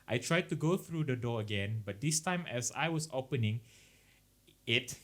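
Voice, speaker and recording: Male podcast co-host, Malaysian accent, relaxed conversational storytelling, code-switches between English and Malay. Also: medium pitch, normal speed. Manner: mixes English with Malay, explaining as they go. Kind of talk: casual chat, reading out listener horror stories.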